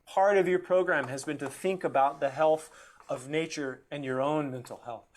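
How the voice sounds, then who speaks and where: A man giving a formal, reflective speech as a guest, earnest and measured, with emphatic phrasing. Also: on the high side. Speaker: guest speaker.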